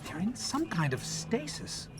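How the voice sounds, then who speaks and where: A man voicing a small, earnest, curious robot companion in a video game, with an expressive, urgent delivery. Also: high voice, a little slow, exaggerated voice. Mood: uneasy wonder. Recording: game music behind the voice. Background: video game soundtrack.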